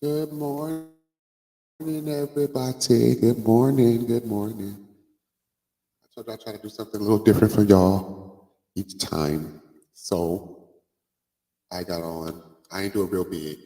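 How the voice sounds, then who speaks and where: A man's voice: lowish voice, fairly even intonation, warm tone. A man, congested from a cold, hosting a casual live stream and chatting with lots of "like" and "um". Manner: chatty, drawn-out fillers like "like" and "um". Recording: long room reverb.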